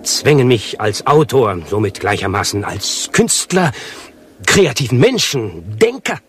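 An actor playing a pompous, self-important avant-garde playwright in a satirical radio play, speaking in long-winded, declamatory sentences with precise diction. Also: male voice, medium pitch, very animated, unhurried, fairly bright tone, a little hiss or hum. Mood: pompous, lecturing.

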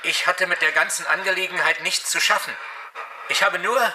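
Male actor as scared junior officer, radio drama, protesting urgently, high-pitched, noisy recording. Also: fairly even intonation, moderate pace, natural voice. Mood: desperate pleading. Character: frightened, pleading male subordinate officer.

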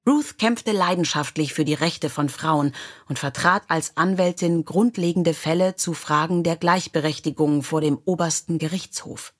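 A woman's voice: deep voice, normal speed. A woman reading scripted biographical stories as an audiobook narrator.

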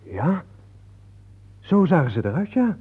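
Radio drama male: edgy, nightmare-shaken ordinary guy, colloquial and halting, rough husky tone, phone-thin old audio. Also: fairly high pitch, big pitch swings, phone-quality sound, heavily acted. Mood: agitated, insistent. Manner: agitated, hesitant, colloquial. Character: shaken man woken from a war nightmare, blunt, on edge. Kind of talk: Dutch radio play acting.

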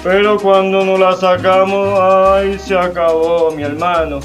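An actor playing a folksy, indignant veteran cane cutter with a Cuban accent, colloquial and exclamatory in a radio drama. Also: male voice, high-pitched, little pitch movement, measured pace. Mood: lively, wry. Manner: colloquial, exclamatory, vivid storytelling. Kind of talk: radio drama monologue in casual talk. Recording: faint music behind.

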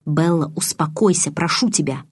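A woman's voice: low-pitched, normal speed, slightly rough, no echo, studio quality. A woman reading an audiobook, voicing an intense, commanding young man with a hot temper and a curt, irritated manner. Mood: cold, controlled.

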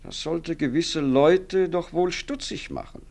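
An actor playing a smooth, self-important government minister in a 1960s German radio drama, suave, rhetorical and condescending. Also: male voice, fairly high pitch, a little slow, a little mellow. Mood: self-justifying.

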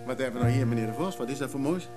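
An actor playing an apologetic, ingratiating antiques hustler in a radio play, smooth but hesitant. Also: male voice, medium pitch, rapid-fire, over loud music, natural voice.

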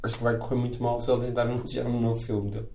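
A man's voice: medium pitch, flat delivery, very fast, darkish tone. A man with a European Portuguese accent chatting casually about films in an opinionated, colloquial way.

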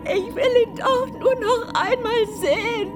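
A woman's voice: very high voice, slow, over loud music. An actress playing an old, grieving mother in a German radio drama, tearful, imploring and emotional. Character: grieving, pleading old mother, hardened survivor.

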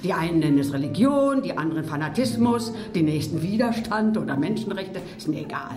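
A woman's voice: lowish voice, animated, a little fast, natural voice. A woman playing a glib, cynical and persuasive political strategist in a conversational drama scene. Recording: roomy echo, music behind.

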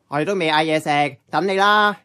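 An actress playing a brash, money-minded slacker nearing thirty, with a Hong Kong Cantonese accent and cocky, slangy radio drama delivery. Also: female voice, low-pitched, brisk, bright, forceful tone, clear, resonant tone. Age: young adult.

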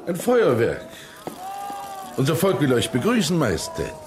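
An actor in a German radio play as a courteous, sly prince with a suave, formal courtly manner. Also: male voice, fairly high pitch, huge pitch swings, moderate pace, music in the background. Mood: placating, festive.

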